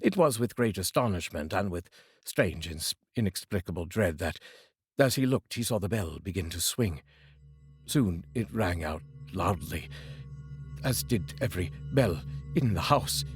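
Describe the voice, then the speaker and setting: A man reading a classic story aloud as a narrator, with theatrical, deliberate phrasing. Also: low-pitched, lively intonation, soft background music. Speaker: audiobook reader. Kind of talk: dramatic literary storytelling narration.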